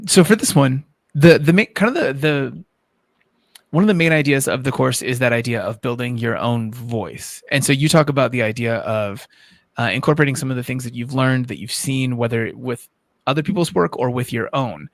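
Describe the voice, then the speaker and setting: Guy with creaky fry in his voice, casual cohost asking questions, really dry room. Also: medium pitch, moderate pace, no room sound. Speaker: livestream co-host and interviewer.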